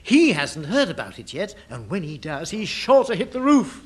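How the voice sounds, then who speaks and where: Anxious british civil servant sidekick, high voice, very up and down, fussy, radio comedy. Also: male voice, very animated, moderate pace, background noise. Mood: concerned. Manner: fretful, hesitant. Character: anxious, fussy male civil service deputy.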